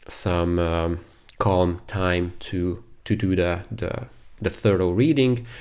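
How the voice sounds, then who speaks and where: A man giving a medical lecture as a radiologist, speaking in a halting way with repeats and pauses. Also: low-pitched, darkish tone, clear, resonant tone. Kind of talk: medical lecture over slides.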